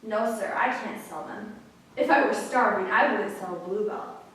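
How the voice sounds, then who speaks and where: A woman performing a sad, earnest little bootblack boy in a dramatic story, gentle and protective. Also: mid-range voice, moderate pace, close to an everyday voice. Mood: piteous, earnest. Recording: echoey room from far off, faint noise.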